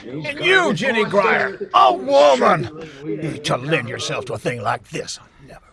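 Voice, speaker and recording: A man's voice: sits high, wildly swooping pitch, unhurried, creaky, raised voice, a crowd in the background, full character voice. A man acting a pompous, blustering old frontier judge in a western radio drama, speaking like an orator. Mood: blustering, indignant.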